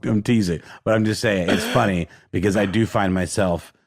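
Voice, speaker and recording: A man, the show's producer, chatting casually in a low voice and teasing the hosts with a laugh. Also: moderate pace. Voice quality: pretty low voice. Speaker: show producer. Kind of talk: casual chat.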